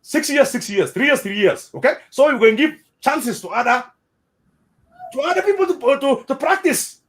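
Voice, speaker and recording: Animated South Sudanese man, high lively voice. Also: normal speed. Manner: animated, repeats words, mixes in Arabic phrases. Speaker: interviewee. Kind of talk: casual spoken commentary.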